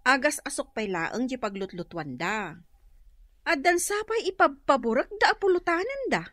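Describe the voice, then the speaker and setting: High-voiced, lively gossipy neighbour. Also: female voice, normal speed, dry recording, studio quality, heavily acted. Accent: Ilocano. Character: gossipy, sympathetic female neighbour and confidante. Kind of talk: Ilocano radio drama acting.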